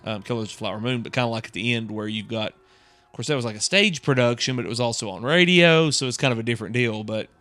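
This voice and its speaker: A man's voice: medium pitch, fast talker, fairly bright tone, no room sound. A man co-hosting a podcast, talking in a casual, rambling, conversational way. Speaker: podcast co-host. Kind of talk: casual chat.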